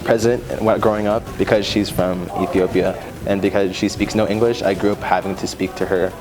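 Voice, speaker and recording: A man's voice: fairly low pitch, level tone, fairly quick. A young man, a student, earnestly answering interview questions with many fillers. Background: background music.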